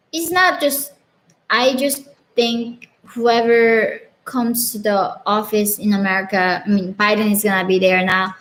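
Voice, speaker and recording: A woman's voice: mid-range voice, low-quality recording. A young woman from North Korea with a Korean accent, casually answering live chat questions as a channel host, earnest and full of "like" fillers. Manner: earnest, frequent "like" fillers, laughs between chat messages.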